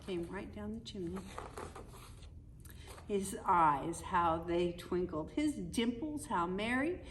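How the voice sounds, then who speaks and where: A woman reading a picture book aloud in an expressive, animated way, adding chatty asides for young listeners. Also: medium pitch, slow. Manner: animated, emphatic storytelling with conversational commentary. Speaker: storybook reader. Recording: distant mic with audible background noise.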